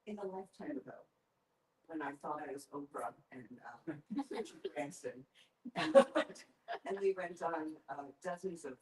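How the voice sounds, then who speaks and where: A woman at a workshop reminiscing softly about her past, her sentences trailing off. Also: deep voice, measured pace, long pauses, reverberant, distant mic, low-quality recording. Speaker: workshop participant.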